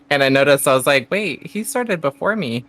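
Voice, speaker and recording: A man's voice: fairly high pitch, animated, normal speed, fairly bright tone. A man chatting casually and candidly as a guest on a livestream, a bit self-deprecating. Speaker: streamer, guest on a stream. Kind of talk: casual chat.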